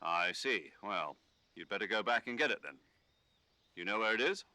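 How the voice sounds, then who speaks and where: An actor playing a calm, authoritative military commander, giving measured, scripted mission briefings in a video game. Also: male voice, lowish voice. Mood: instructive.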